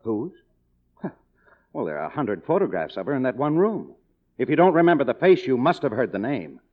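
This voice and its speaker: A man's voice: medium pitch, big pitch swings, a little fast. An actor playing a cynical, proud American kept man, a struggling writer, in sardonic, clipped 1950s radio noir style. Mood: bitterly sardonic. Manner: sardonic, hard-boiled, clipped. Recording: dull vintage radio drama recording.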